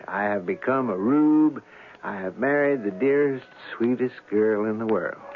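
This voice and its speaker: Male actor as wry, genial narrator, mid-pitched conversational delivery, old radio sound with faint music. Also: narrow, tinny audio, lightly acted. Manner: conversational, reflective storyteller. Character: genial, wry male writer narrating his own tale. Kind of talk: old-time radio drama storytelling.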